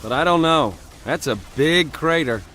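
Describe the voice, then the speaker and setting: An actor playing a sombre human soldier in a video game. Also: male voice, on the high side, moderate pace, pushed and bright, a little hiss or hum. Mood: uneasy. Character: sombre human soldier surveying wartime destruction.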